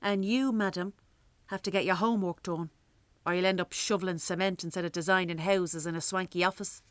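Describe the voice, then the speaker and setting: A woman with an Irish accent playing a weary, sharp-tongued working-class mother in a radio drama, wry and blunt. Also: on the low side, fairly even intonation, a little fast, no room sound, natural voice. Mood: practical. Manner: wry, blunt, earthy talk. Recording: clearly noisy, traffic and ambience behind.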